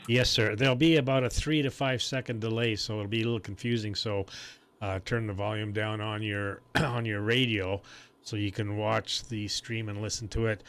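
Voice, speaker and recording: A man's voice: fairly low pitch, fairly even intonation, normal speed, fairly bright tone. A man who runs a home ham radio station, chatting casually and explaining things in long, loose sentences. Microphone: desk mic on a foot switch.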